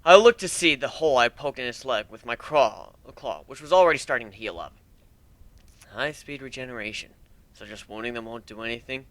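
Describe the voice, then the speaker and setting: A man giving an expressive amateur dramatic reading of first-person fantasy fiction. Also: medium pitch, a little fast, no echo. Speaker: amateur story reader.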